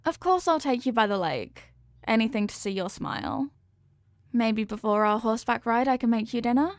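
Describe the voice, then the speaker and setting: A woman's voice: fairly high pitch, expressive, moderate pace, dry recording, studio quality. A woman playing a sweet, devoted headless horsewoman girlfriend in an intimate ASMR roleplay, affectionate, playful and gushing. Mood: dreamy, loving.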